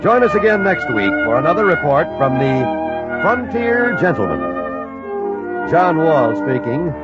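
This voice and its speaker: A man's voice: on the high side, big pitch swings, unhurried. A man with an American accent reading scripted announcements in 1950s network radio style. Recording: dull dry vintage radio recording, music.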